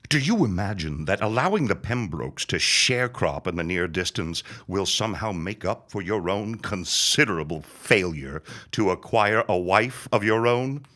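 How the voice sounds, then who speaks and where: A man's voice: fairly low pitch, lively intonation, normal speed, few pauses, pushed and bright. A man playing a bitter, vengeful old dying reverend in a period audio drama, speaking in a grandiose, scornful way. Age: older. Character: bitter, vengeful, near-death old reverend and landowner father.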